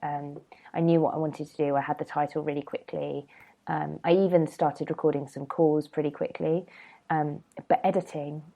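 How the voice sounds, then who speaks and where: A woman's voice: low-pitched, little pitch movement, normal speed, vocal fry, warm tone. A woman hosting a casual solo podcast, rambling and chatty with lots of ums, sounding a bit nasal from a cold. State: has a cold, slightly nasal. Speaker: podcast host.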